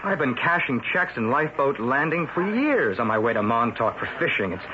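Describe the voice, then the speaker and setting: An actor playing an eager, stubborn young newspaper reporter in an old-time radio drama, insistent and full of questions. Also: male voice, mid-range voice, sing-song, brisk, lightly acted. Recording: noisy, muffled old radio broadcast.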